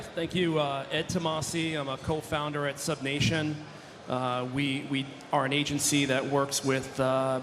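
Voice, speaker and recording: A man's voice: medium pitch, fairly bright tone, in a large hall, slight background noise. A man speaking steadily on a panel, with frequent uhs. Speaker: agency co-founder. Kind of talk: conference panel talk.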